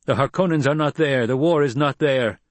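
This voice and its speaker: A man's voice: medium pitch, no room sound, voice pushed up, natural voice. Man acting commanding young desert leader, raised voice. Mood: firm, commanding. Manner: emphatic, deliberate, demanding.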